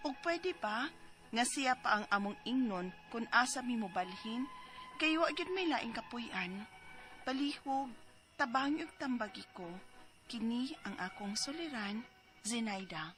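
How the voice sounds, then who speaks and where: A woman's voice: a little high, lively intonation, measured pace, fairly bright tone. An actress playing a hurt, pleading middle-aged niece in a Cebuano radio drama, telling her troubles earnestly. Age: 40s. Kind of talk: radio drama acting and letter narration. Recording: music behind the voice.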